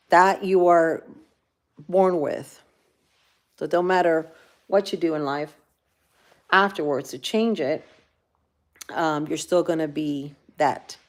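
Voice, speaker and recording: Relaxed Cuban-accented woman chatting live. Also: fairly low pitch. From grew up in Florida. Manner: rambling, talks straight to viewers, many fillers. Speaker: livestream host. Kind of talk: casual livestream chat, reading viewer comments.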